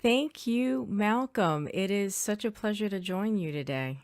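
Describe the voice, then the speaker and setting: A woman's voice: mid-range voice, expressive, unhurried, no room sound, studio quality. A middle-aged woman, a native Spanish speaker, answering interview questions as an attorney, earnest and thoughtful with small restarts. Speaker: estate planning attorney, guest.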